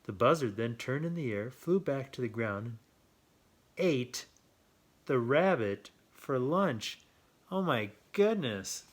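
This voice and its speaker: Expressive man reading a children's storybook. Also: medium pitch, slow pace. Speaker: children's story reader and host. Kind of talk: storybook read-aloud with chatty asides.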